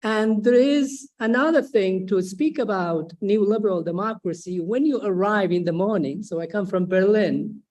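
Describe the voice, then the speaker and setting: A woman from Sweden giving spontaneous academic remarks, deliberate and emphatic, repeating phrases for stress. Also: medium pitch, a little mellow. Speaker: symposium host, social scientist. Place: online video symposium.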